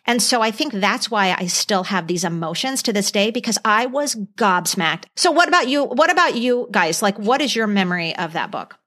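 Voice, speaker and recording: A middle-aged woman hosting a casual podcast chat, telling things in an emphatic, expressive way. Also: medium pitch, normal speed, few pauses. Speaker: podcast host.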